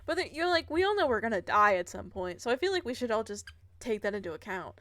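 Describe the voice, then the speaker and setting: Female game streamer: slightly high voice, dry close sound, casual rambling commentary, giggly delivery. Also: big pitch swings, quick pace, a bit bright, no room sound.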